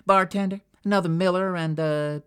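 A loud-mouthed Texan woman, as a man puts her on, firing off blunt, nosy questions. Her voice sits high and bounces around a lot. She swears without a second thought. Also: sing-song, normal speed. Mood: brash. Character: brash loud-mouthed woman, Texan living in a big city.

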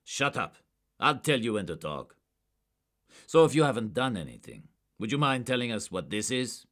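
A man's voice: extremely low pitch, sing-song, normal speed, fairly bright tone, full character voice. A man voicing a hard-edged male police agent who gives curt, authoritative orders. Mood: commanding. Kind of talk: audiobook character voice.

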